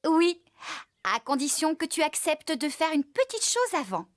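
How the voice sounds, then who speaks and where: A woman playing a kindly, caring young farmer in a children's cartoon, with a gentle, encouraging and expressive manner. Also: high voice, a little slow, dry recording, clean studio sound. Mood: playful.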